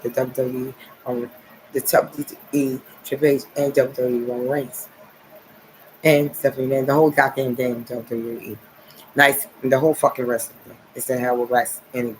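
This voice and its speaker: Man, 40s, from New Jersey; repetitive, rambling solo vlog, mid-pitched voice over faint background noise. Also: poor audio. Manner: rambling, repetitive, filler "okay" and "nice". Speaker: vlogger. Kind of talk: rambling monologue to camera.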